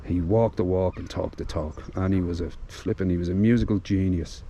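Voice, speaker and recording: Dublin guy vlogging outside, lowish voice, chatty and heartfelt, lots of ums, traffic noise in the background. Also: fairly even intonation, fairly quick, dark tone. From Ireland. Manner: chatty and heartfelt, with frequent fillers and restarts. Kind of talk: casual vlog commentary. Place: outdoors.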